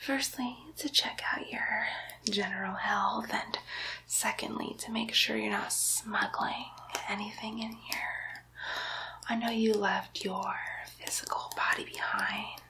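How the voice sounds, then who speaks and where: A woman's voice: medium pitch, steady tone, slow pace, low-quality recording. A woman playing a chatty, cheeky demon nurse in a whispered ASMR roleplay, coaxing and playfully condescending. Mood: playful, businesslike. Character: chatty, cheeky female demon nurse greeting souls in hell. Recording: faint background noise, close whispered recording.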